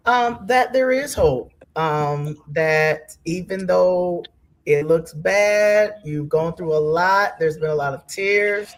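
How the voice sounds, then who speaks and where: A woman giving reflective interview answers as a panel guest, halting and earnest, pausing between phrases. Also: fairly low pitch. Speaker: panel guest from a stage play.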